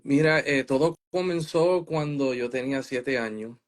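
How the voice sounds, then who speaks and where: Relaxed young man, easygoing answers. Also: mid-range voice, measured pace. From northern New Jersey, USA. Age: young adult. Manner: relaxed, mixes in English fillers. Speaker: guest, pastor and worship leader. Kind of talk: casual interview answers.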